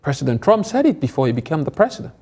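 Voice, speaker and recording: A man's voice: fairly high pitch, very animated, rapid-fire, darkish tone, husky. A man on a television panel, speaking earnestly and urging his audience to stay informed. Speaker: panel guest. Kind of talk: panel discussion.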